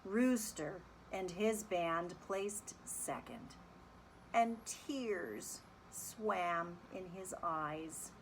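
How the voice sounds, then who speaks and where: A woman speaking as a music teacher, reading a children's story and explaining it in an animated, deliberate, instructive way. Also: fairly low pitch, a little hiss or hum, rough recording. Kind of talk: expressive children's read-aloud and lesson.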